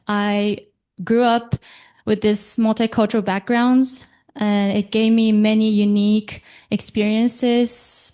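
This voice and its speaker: A young woman from Japan, speaking as a panelist at a conference in a slow, halting way, with fillers and self-corrections. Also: medium pitch, warm tone.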